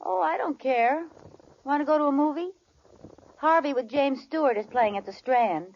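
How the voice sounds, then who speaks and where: A woman's voice: high voice, normal speed. A woman playing a scheming housewife who feigns sickness with theatrical frailty in a 1950s radio sitcom. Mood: casual. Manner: theatrical, with exaggerated groans and put-on frailty. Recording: muffled old radio recording, audience, hiss.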